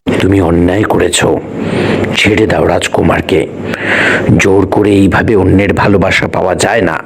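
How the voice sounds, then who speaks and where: A man voicing a solemn, commanding mystic sage in a fairy-tale cartoon, grave and deliberate. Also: low-pitched, steady tone, vocal fry, slight background noise. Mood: stern. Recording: loud music behind the voice.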